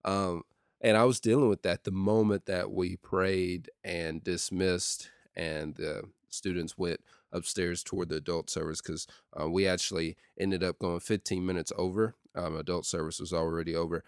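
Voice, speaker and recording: A youth pastor with a deep voice, talking in a casual solo podcast with lots of ums and uhs. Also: male voice, normal speed, clean tone, no room sound, studio quality. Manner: steady and conversational with many ums and uhs.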